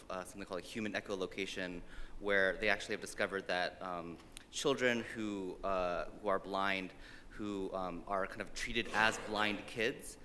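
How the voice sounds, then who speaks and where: A man commenting from the audience, halting and hesitant, often searching for words and saying like. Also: lowish voice, sharp tone. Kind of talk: unscripted comment from the floor. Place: large conference hall, audience floor. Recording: hall reverb, faint background noise.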